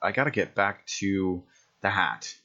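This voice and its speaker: A man with a lowish voice talks casually as a player in a tabletop roleplaying game. He works through his moves and rolls out loud. The recording is a little dull and lo-fi. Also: a little slow.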